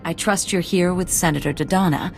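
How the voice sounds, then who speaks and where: An actress playing a composed military commander in a video game, formal, measured and authoritative. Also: female voice, on the low side, gravelly. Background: game music.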